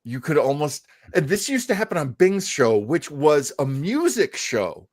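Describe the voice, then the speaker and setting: A man's voice: a little high, very animated, a little slow. Man, show host, casual chat, chatty storyteller, jokey, self-deprecating. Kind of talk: casual live show chat about old-time radio.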